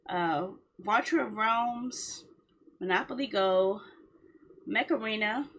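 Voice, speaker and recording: Bright, husky-voiced woman streamer. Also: medium pitch. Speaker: game streamer. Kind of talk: casual livestream chat.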